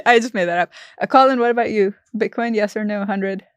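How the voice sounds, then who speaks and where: A young woman from Pakistan hosting a podcast interview, upbeat and quick-talking with frequent laughs. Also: mid-range voice, smooth, no room sound, studio quality. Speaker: podcast host. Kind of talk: casual interview chat.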